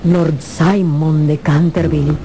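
A woman narrating a scripted radio drama in a measured, expressive, theatrical storytelling manner. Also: sits low, background noise.

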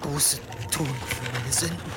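An actor playing a tormented, penitent young monk in a German radio drama, halting, strained and fatalistic. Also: male voice, mid-range voice, background noise, close to an everyday voice. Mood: anguished, resigned.

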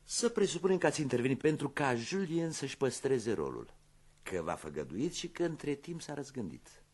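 An actor playing a shrewd police inspector in a radio play, measured, courteous and insinuating, with a gravelly, husky voice. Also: male voice, mid-range voice, very animated, darkish tone. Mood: probing. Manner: measured, insinuating, theatrically polite.

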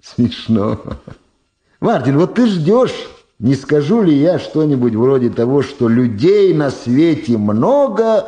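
Sly, mellow-voiced old political boss. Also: male voice, medium pitch, sing-song. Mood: mocking, controlling. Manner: unhurried, repeats words, falsely friendly. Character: sly old political power broker, mockingly affable. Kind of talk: 1970s Soviet radio drama acting.

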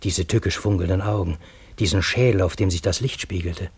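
Husky, low-voiced troubled Parisian storyteller. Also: male voice, no echo, heavily acted. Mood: uneasy, astonished. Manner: measured, literary, dramatic. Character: troubled Parisian man recounting his fantastic time-loop adventure. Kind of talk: scripted radio drama narration.

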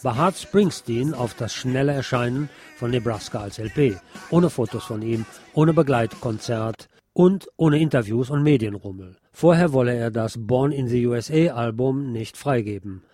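A man's voice: medium pitch, moderate pace, hardly any pauses, a little mellow, no room sound. A man presenting a scripted film review as narration.

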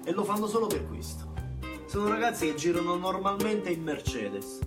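Over loud plucked guitar music comes a bitter young man from a small southern Italian town. His voice sits a little high, with a Campanian accent. He delivers a theatrical monologue in plain, colloquial language, driving points home through repetition. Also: animated, normal speed, distant mic. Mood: matter-of-fact, bitter. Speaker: stage actor. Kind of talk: theatrical monologue, first-person narration. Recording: music behind the voice.

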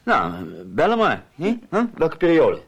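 A man's voice: on the high side, huge pitch swings, measured pace, breathy edge, dull audio, heavily acted. An actor playing a bossy, sarcastic husband who patronizes his wife, in a radio drama with a Netherlands Dutch accent. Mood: matter-of-fact. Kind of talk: Dutch radio play acting.

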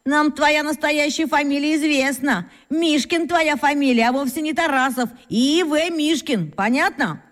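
A woman playing an excitable, boastful schoolboy who fancies himself a detective, telling his tales breathlessly in a children's radio drama. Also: sits high, measured pace, hardly any pauses. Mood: conspiratorial. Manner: breathless, boastful storytelling with exclamations.

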